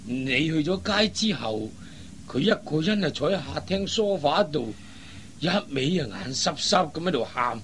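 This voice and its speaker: A man's voice: fairly high pitch, expressive, a little slow, fairly bright tone. A man playing a worried, hesitant elderly father in a radio drama, often sighing. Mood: puzzled, troubled. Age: older. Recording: faint background noise.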